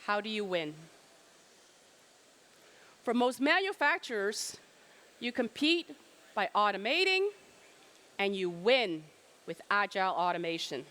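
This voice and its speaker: A woman's voice: medium pitch, very animated, a little slow, pauses often, fairly bright tone. A middle-aged woman from Cambodia giving an emphatic keynote presentation at a trade fair and asking rhetorical questions.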